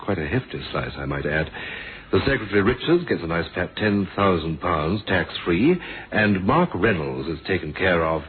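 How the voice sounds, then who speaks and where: An actor playing a measured, dryly thoughtful police inspector in a radio drama, polite and understated in manner. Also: male voice, sits low, sing-song. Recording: dull, hissy old radio recording.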